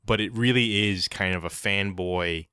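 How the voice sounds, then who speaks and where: A man's voice: sits low, normal speed, pushed and bright, clean tone, no echo, clean studio sound. A man co-hosting a podcast, giving his opinions in a casual, conversational review style. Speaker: podcast co-host.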